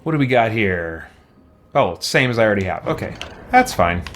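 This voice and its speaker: A man's voice: on the low side, big pitch swings, moderate pace, a little hiss or hum. A man streaming a game with casual, informal commentary, thinking aloud and chatting with his viewers in a rambling way. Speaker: game streamer and YouTuber, host. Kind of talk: casual livestream commentary while gaming, reading and answering chat.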